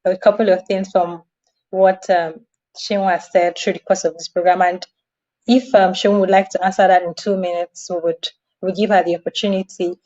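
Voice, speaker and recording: A woman's voice: fairly low pitch, level tone, moderate pace, darkish tone. A woman hosting a live online event in a chatty, appreciative way. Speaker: host. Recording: dull, lo-fi online audio.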